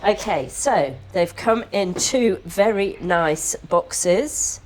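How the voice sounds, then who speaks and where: A woman's voice: mid-range voice, lively intonation, extremely slow, darkish tone, a little hiss or hum, rough recording. A woman co-hosting a casual vlog, unboxing a product with enthusiastic exclamations. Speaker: vlog co-host.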